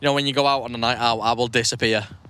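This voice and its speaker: A man's voice: mid-range voice, steady tone, rapid-fire, bright, forceful tone, a little hiss or hum. A young man co-hosting a podcast, bantering in slang and laughing. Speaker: podcast co-host. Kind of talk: casual chat.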